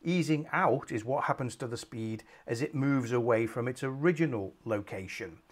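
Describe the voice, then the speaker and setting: A middle-aged man presenting a screen-recorded software tutorial from a small home office, calmly walking through each step with frequent ums. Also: medium pitch, moderate pace.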